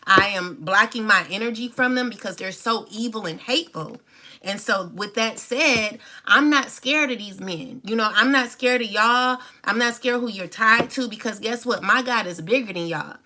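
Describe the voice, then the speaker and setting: She is a woman in her forties with a fairly high, bright voice that has a hard, forceful edge. She talks off the cuff to her audience as a personal monologue. Her delivery is defiant and emphatic, circling back on phrases to make them land. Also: normal speed. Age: 40s. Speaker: tarot reader and channel host. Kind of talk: unscripted personal monologue to viewers.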